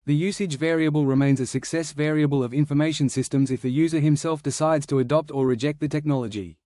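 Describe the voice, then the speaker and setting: A man's voice: on the high side, fairly even intonation, fast, mellow, dry recording, clean studio sound. A man reading a practice passage aloud as scripted narration. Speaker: exam practice reader.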